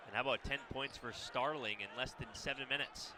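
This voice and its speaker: A man's voice: mid-range voice, fairly even intonation, brisk. Male color commentator in a noisy arena. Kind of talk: radio sports analysis. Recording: noisy arena with bouncing basketballs.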